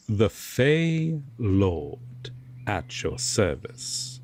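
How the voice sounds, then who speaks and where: Guy acting as a broody fae lord, super slow n formal, kinda low voice, dramatic pauses, polite but guarded. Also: creaky, a little mellow, exaggerated voice. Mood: grave, guarded. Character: brooding, courteous male Fae lord, guarded, reluctantly kind. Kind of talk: roleplay audio drama monologue.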